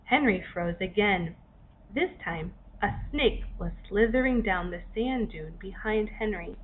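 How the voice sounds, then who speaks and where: A woman reads a children's story slowly and expressively, pausing between short phrases for drama. Her voice is fairly high and swings widely in pitch. The recording is a little dull. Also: animated. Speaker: author reading own story. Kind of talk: children's story narration for young learners. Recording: dull lo-fi recording, faint noise.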